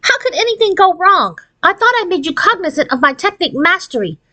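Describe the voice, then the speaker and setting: An irritable fairy godmother from a children's storybook, played by a woman reader. Her voice is pitched high, and she speaks with pompous, wordy impatience. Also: big pitch swings, a little fast, pushed and bright, heavily acted. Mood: irritated, pompous.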